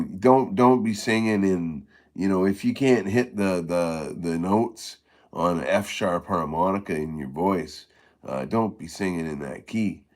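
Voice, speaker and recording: A middle-aged man with a low voice chatting casually about playing harmonica, halting and rambling with many fillers and restarts. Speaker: harmonica player giving tips. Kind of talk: casual live-stream chat.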